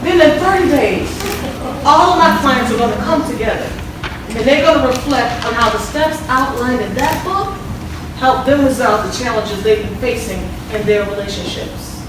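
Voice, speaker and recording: A woman's voice: fairly high pitch, moderate pace, lightly acted. A woman playing a confident, preachy relationship counselor on stage, emphatic and instructive, with repeated commands. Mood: emphatic, persuasive. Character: confident, preachy female relationship counselor with a self-help book. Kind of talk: live stage-play acting. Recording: echoey theater hall, distant mic, noisy.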